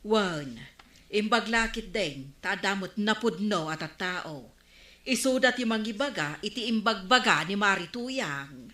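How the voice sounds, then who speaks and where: A righteous, plain-speaking village woman in a Filipino radio comedy drama. Her Filipino-accented voice is bright and forceful, ringing in a roomy space. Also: medium pitch, expressive, unhurried. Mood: disapproving.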